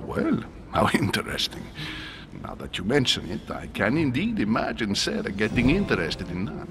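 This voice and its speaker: A grave, secretive senior churchman in a cinematic adventure game. His speech is ceremonious and fatherly, with careful pauses and a lively melodic range. Music plays clearly behind him. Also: on the low side. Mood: curious. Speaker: actor in a narrative adventure game. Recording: game dialogue over background music.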